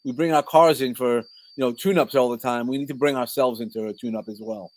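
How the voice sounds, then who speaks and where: A middle-aged man speaks in a New York accent at an average pitch. He is a coach being interviewed, informal and conversational. He often interrupts himself and circles back as he tells personal stories. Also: fairly even intonation, a little fast. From New York, Brooklyn. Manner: informal, rambling, self-interrupting. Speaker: podcast guest, coach and speaker.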